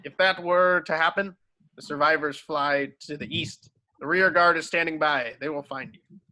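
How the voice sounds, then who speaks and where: A man playing a gruff, commanding veteran wizard in an amateur script read, barking clipped orders. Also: a little high, moderate pace, bright, forceful tone, rough recording.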